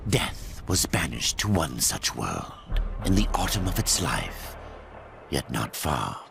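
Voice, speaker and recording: A man giving a hushed, portentous narration for a game cutscene. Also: rumbling low, very animated, slow, vocal fry, background noise, heavily acted. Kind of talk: scripted cinematic narration. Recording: game cutscene with loud music.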